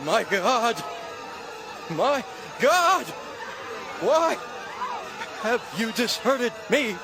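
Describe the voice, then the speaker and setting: Dying man wailing to god, super slow and high, music behind. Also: sing-song, heavily acted. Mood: anguished despair. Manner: agonized, crying out. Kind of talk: dramatized audio Bible acting.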